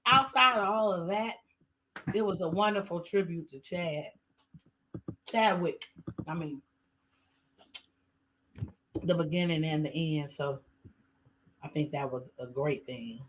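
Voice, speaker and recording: Calm, persistent woman on a podcast. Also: low-pitched, takes it slowly, pauses often, slight background noise, low-quality recording. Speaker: podcast co-host. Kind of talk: casual debate.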